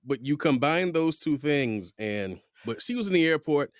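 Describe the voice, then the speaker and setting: A middle-aged man from the United States hosting a podcast, telling stories in a rambling, animated way. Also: fairly high pitch, normal speed, no echo, clean studio sound. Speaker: podcast host. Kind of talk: casual interview chat.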